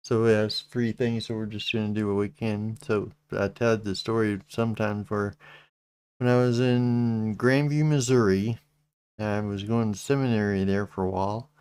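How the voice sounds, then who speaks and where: Slow-talking Texan man, low smooth voice. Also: fairly even intonation, darkish tone. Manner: slow, halting, many restarts. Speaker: channel host. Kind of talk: casual livestream chat.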